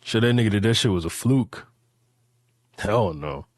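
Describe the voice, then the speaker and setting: A man's voice: lowish voice, expressive, moderate pace, no echo, clean studio sound. A man in his late twenties chatting casually and rambling through hypotheticals, repeating phrases emphatically with profane slang. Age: late 20s.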